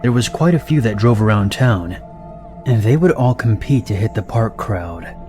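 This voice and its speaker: A man narrating horror stories in an even, measured voice. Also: on the low side. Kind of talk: scripted narration of reader-submitted stories. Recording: narration over loud background music.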